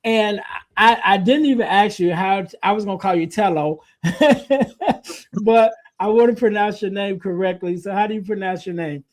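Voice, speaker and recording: A man hosting a podcast, asking questions in a relaxed, friendly way with lots of fillers. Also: high voice, moderate pace. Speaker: podcast host. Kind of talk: casual interview hosting.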